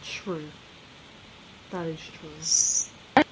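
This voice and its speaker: A woman's voice: sits low, steady tone, very slow. A woman co-hosting a podcast, chatting casually with dry, joking remarks. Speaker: podcast co-host. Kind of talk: casual chat. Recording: noisy room ambience.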